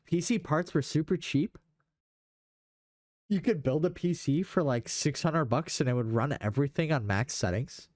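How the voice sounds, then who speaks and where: A man's voice: on the high side, normal speed, a little mellow, no echo, clean studio sound. A young man in casual gaming chat, speaking in a slangy, enthusiastic way. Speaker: podcast host.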